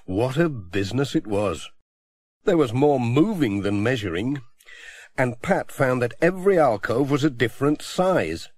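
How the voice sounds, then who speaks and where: A man's voice: medium pitch, big pitch swings, measured pace, no echo. A middle-aged man reading a children's storybook aloud as narrator. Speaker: audiobook narrator. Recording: dry close audiobook recording.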